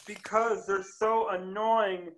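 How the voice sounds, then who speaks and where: A man's voice: high-pitched, level tone, takes it slowly, rough recording. A man reading a frazzled, whiny bar owner. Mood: exasperated. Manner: exasperated shouting, whiny complaints. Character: easily annoyed male bar owner Steve, frantic. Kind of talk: amateur table read over video call. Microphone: laptop webcam mic on video call.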